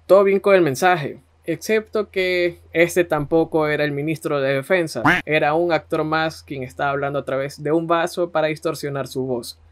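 A man's voice: a little high, fairly even intonation, moderate pace, few pauses. A man narrating conversationally as a podcast host.

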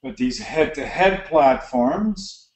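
A middle-aged man with a Canadian accent gives a practical slide lecture on barn design, relaxed and full of fillers and restarts. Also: a little high, slow pace. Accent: Canadian English. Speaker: dairy barn design consultant. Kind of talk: lecture narration over slides. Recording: roomy echo.

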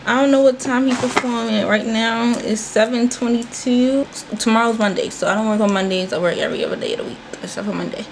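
A woman's voice: on the high side, quick pace, hardly any pauses, a little hiss or hum, rough recording. Chatty young woman vlogging in her bedroom. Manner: chatty and animated, addresses viewers as y'all. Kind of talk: casual chatty vlog. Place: small bedroom, indoors. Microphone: handheld phone camera, then propped on desk.

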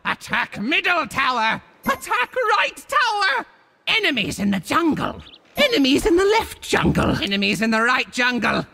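A man's voice: extremely high pitch, huge pitch swings, moderate pace, vocal fry, bright, forceful tone, heavily acted. A man voicing a boastful, theatrical cartoon monster villain for a video game, with a hammy, swooping delivery. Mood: commanding, urgent.

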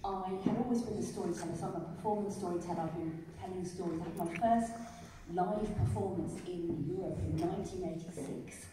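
A middle-aged English woman speaks from a podium, warmly telling self-mocking anecdotes about her life. Her voice sits a little low. It is caught from the back of a large glass conservatory, far off, with a lot of echo and audible noise. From England. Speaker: author and storyteller. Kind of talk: talk at a writers' event. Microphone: podium mic heard from the back of the room. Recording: echoey hall from far off, noisy.

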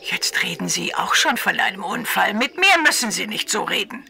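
Guarded, weary widowed mother in audio drama; gravelly edge, medium pitch. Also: sing-song, normal speed, pushed and bright, exaggerated voice. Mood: bitter, weary. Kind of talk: radio play acting.

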